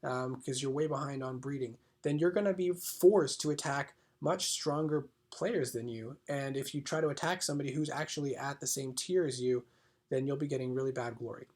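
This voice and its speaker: A man with a congested voice from a cold, explaining game rule changes in a casual, hesitant way, often clearing his throat. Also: medium pitch, brisk, a little mellow. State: congested, slightly sick. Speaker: gaming channel host.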